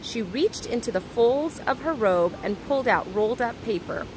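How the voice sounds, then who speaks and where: A woman's voice: fairly high pitch, animated, moderate pace. A woman reading a children's story aloud expressively, like a teacher, recorded outdoors. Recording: clear outdoor ambient noise.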